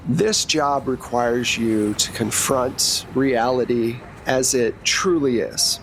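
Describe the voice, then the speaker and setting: Man voicing a grave, deliberate female agent recruiter. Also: mid-range voice, a little hiss or hum, low-quality recording. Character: no-nonsense female government agent recruiting a newcomer.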